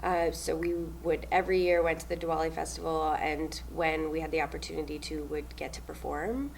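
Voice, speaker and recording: A young woman from New Zealand answers interview questions with a loud, upbeat delivery and frequent laughs. Her voice sits a little low and has a gravelly fry to it. Faint noise hums under the recording. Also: level tone, brisk, poor audio. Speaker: stage manager.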